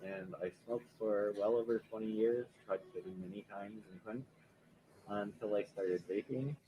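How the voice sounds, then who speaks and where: Man in his fifties, low earnest voice, muffled lo-fi sound, ambience behind. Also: moderate pace, noisy background. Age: 50s. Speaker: vaper giving a testimony. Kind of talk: short recorded testimony. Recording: dull lo-fi clip with ambience.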